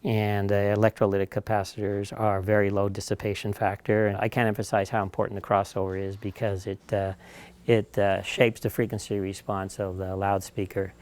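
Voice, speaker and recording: A man giving a technical explanation as an engineer, speaking in a halting, hesitant way. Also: on the low side, flat delivery, darkish tone. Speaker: loudspeaker engineer.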